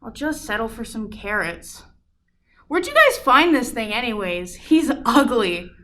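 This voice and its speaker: A woman's voice: on the high side, sing-song, unhurried, sharp tone, heavily acted. A woman playing a cocky, bratty young man in a radio play, flippant and whiny. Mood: flippant, teasing.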